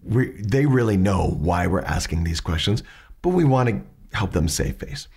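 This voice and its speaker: A low-pitched man with a gravelly, husky voice, raspy from hay fever. He walks through his points methodically in a relaxed teaching tone, often restarting a sentence mid-way. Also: moderate pace. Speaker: podcast host teaching questioning. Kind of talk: casual explanatory lesson.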